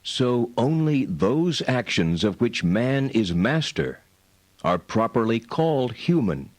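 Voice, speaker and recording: An actor playing a learned medieval philosopher, reading formal text in a deliberate, precise, didactic way. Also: male voice, medium pitch, sing-song, no echo.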